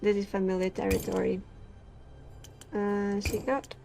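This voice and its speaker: A woman from Portugal, a gaming creator reading game text aloud and chatting in a halting way, full of fillers and restarts. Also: medium pitch, level tone, vocal fry, mellow, a little hiss or hum.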